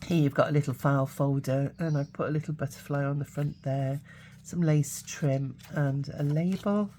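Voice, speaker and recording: A woman speaks in a hoarse, low voice, roughened by a cold. Her tone is mellow and unhurried, and she talks casually with plenty of ums and uhs. A faint background hiss sits under the recording. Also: fairly even intonation. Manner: chatty, halting, many fillers. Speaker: craft channel host. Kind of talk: casual craft flip-through.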